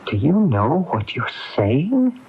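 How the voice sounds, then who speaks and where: A man's voice: fairly high pitch, huge pitch swings, slow pace, a little hiss or hum, full character voice. An actor playing an evasive, defensive crooked businessman who stammers under questioning in a 1980s radio drama. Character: evasive, defensive crooked businessman hiding guilt from his sister. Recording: tinny, phone-like 1980s AM radio tape.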